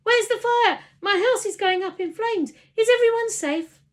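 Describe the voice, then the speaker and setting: Dramatic, exasperated mum for a children's audiobook, played by a female reader; excitable yet stern. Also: extremely high pitch, normal speed, voice pushed up. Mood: frantic, shrill. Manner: shrieking in panic, then sternly exasperated.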